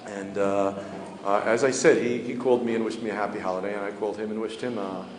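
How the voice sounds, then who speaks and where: A man, a community spokesman answering journalists' questions in a measured, matter-of-fact way, pausing to search for words. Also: on the low side, fairly even intonation. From United States. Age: 50s. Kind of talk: press interview answers. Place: indoor hall. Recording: echoey hall, faint ambient noise.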